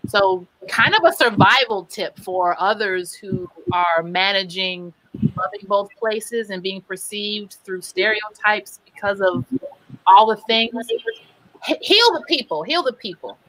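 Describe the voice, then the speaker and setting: A middle-aged woman moderating a video call discussion in a reflective way, with gentle pauses. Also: mid-range voice, lively intonation, no room sound, poor audio.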